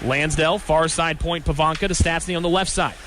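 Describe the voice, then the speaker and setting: Higher-voiced man calling live hockey play-by-play. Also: steady tone, fairly quick, fairly bright tone, a little hiss or hum. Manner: clipped, descriptive phrasing in short fragments. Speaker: hockey radio play-by-play announcer. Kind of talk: live sports commentary. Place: hockey arena during a live game.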